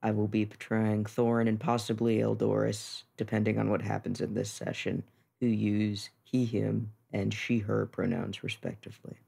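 Very creaky, husky male podcast player. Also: fairly low pitch, flat delivery, moderate pace, warm tone. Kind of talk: casual chat.

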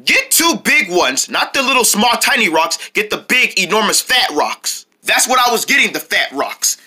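A man's voice: high voice, moderate pace, husky, heavily acted. A man voicing a stubborn, easily flustered would-be fire maker in an improvised skit, blustering and repeating himself. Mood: boastful. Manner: repetitive, blustering, excitable.

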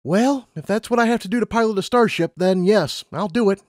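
A man's voice: high voice, fairly quick, a little mellow, voice pushed up, natural voice. A man voicing an eager, bubbly young female pony pilot in an acted audiobook, chirpy and earnest. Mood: determined.

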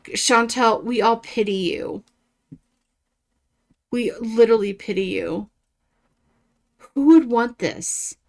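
A woman's voice: a little high, takes it slowly, pauses often, a little mellow. A woman in her thirties giving casual reaction commentary in a blunt, sarcastic and profane way. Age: 30s.

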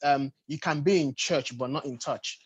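Husky, slightly high-voiced man explaining earnestly. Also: normal speed, no echo, lo-fi sound. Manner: explanatory, repeats short phrases for emphasis. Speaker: panelist at a church youth congress. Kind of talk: earnest, unscripted teaching in a panel discussion. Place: home room with bookshelves, video call.

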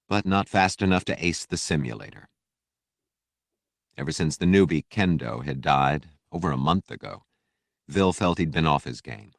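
Deep-voiced male audiobook narrator. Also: dry recording. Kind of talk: dramatic scripted fiction narration. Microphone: close studio microphone.

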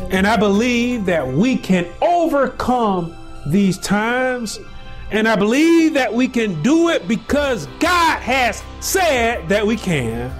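Man, 40s, pastor, sermon, high voice, very lively pitch, slow delivery, faint music behind. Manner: preaching with rising, shouted peaks and slow drawn-out phrases. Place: small indoor room.

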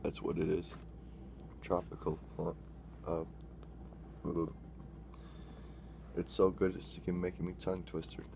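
A man's voice: low-pitched, slow pace, creaky, long pauses, noisy background. A young man casually taste-testing drinks on camera in a small room, rambling and correcting himself as he talks. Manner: rambling, self-correcting, excitable when tasting. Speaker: drink-mixing vlogger. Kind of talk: casual taste-test vlog. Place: small dim room indoors.

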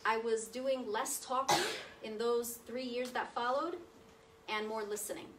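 A woman's voice: fairly high pitch, unhurried, very noisy. A woman in her thirties giving a chatty, conversational talk, seated at a table and often checking in with her listeners. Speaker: guest speaker. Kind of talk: personal testimony talk to an audience. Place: meeting room with projector screen. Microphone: far camera, speaking seated at a table. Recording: distant roomy sound from across the room.

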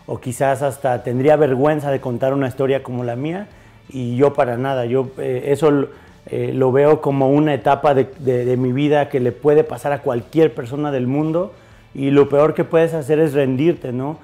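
A man in his forties from Mexico, a chef answering interview questions in a bar, halting with many fillers and restarts, recorded on a lapel mic. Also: mid-range voice, steady tone, mellow. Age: 40s. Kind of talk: interview conversation. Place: bar with bottle shelves.

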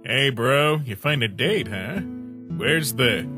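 A man's voice: medium pitch, expressive, takes it slowly, music in the background. A man voicing a wry, drowsy skeleton brother with a casual, mumbled, unbothered manner in a cartoon comic dub. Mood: awkward. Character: laid-back, drowsy skeleton older brother, mild and wry.

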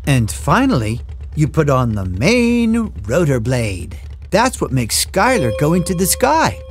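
A woman narrating a children's cartoon in a playful, animated way, with chatty asides to her young listeners. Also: sits low, a little slow. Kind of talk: playful scripted narration for toddlers. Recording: music behind the voice.

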